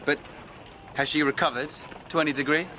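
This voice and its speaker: An actor playing an affectionate, eager younger brother, a breezy Victorian gentleman, in a radio drama. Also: on the high side, steady tone, a little fast, noisy background, close to an everyday voice. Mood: tender, eager.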